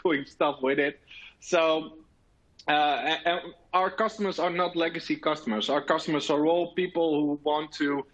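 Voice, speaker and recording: A man's voice: fairly high pitch, a bit bright, low-quality recording. A man with a Dutch accent, a native Dutch speaker, presenting earnestly at a virtual conference. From Netherlands. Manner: earnest, many filler sounds and restarts.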